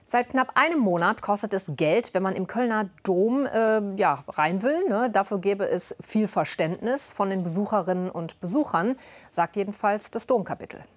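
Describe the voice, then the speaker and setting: A woman presenting regional news in a clear, conversational way. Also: mid-range voice, animated, moderate pace.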